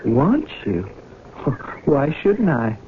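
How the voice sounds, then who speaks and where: An actor playing an earnest, intense younger brother on a farm in a 1970s radio drama. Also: male voice, medium pitch, wildly swooping pitch, very slow, full character voice. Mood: tender. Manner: intense, accusing. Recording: muffled old radio recording with noise.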